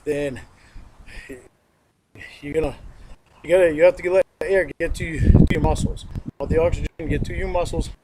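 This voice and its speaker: Guy talking thru a home workout, kinda high voice, mellow, sniffly, faint hiss behind. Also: fairly even intonation, moderate pace, long pauses, rough recording. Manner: plodding, repetitive instructions, counting reps. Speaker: amateur workout video presenter. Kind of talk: homemade workout demonstration.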